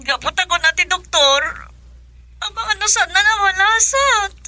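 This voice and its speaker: A woman's voice: very high voice, animated, moderate pace, noisy background. A woman acting a distressed friend bearing grave news in an Ilocano radio drama.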